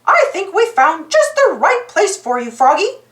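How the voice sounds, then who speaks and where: Woman doing a high, eager cartoon mouse boy. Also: big pitch swings, unhurried, a bit bright, reverberant, full character voice. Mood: cheerful, helpful. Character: Mickey, cheerful eager little male cartoon mouse. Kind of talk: children's storybook character voice.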